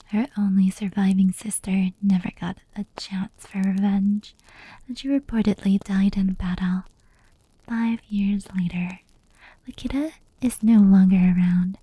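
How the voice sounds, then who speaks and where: Whispered ASMR, woman acting as gentle female fox demon, very mellow, breathy, unhurried, intimate storytelling. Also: mid-range voice, dry recording, low-quality recording. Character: gentle female fox-demon yokai telling old legends.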